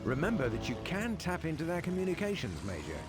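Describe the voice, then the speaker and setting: An actor playing a formal, composed, dryly witty military adviser briefing a commander in a video game. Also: male voice, on the high side, wildly swooping pitch, quick pace. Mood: urgent briefing. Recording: loud music behind the voice.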